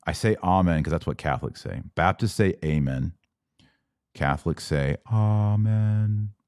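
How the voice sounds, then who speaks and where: A man hosting a podcast, teaching about religion informally, explaining with asides and emphatic pauses. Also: deep voice, darkish tone, no echo, studio quality. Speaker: podcast host. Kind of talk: informal religious teaching to a live online audience.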